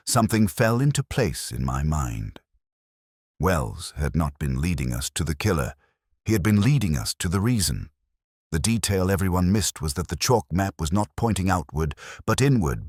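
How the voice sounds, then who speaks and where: Male narrator for detective fiction: extremely low register, expressive swings in pitch, solemn and measured, dry studio sound. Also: no room sound. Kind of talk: scripted first-person literary detective narration.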